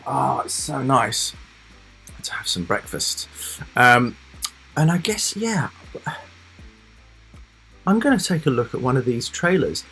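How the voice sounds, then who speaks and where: A man hosting a casual live stream, chatting loosely to his viewers with lots of fillers, rambling asides and soft laughs. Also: medium pitch, sing-song, slow pace, vocal fry, long pauses, faint music behind, poor audio. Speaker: reaction livestream host.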